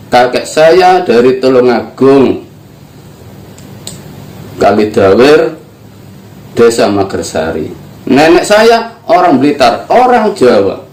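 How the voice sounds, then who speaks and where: A man's voice: medium pitch, a little mellow. An older man from East Java, giving an earnest, emphatic religious teaching talk. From East Java, Indonesia. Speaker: spiritual teacher. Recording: echoey room.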